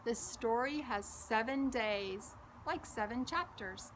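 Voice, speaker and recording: A woman voicing a cheerful, patient older girl in a storybook, explaining things slowly and reassuringly. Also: a little high, background noise.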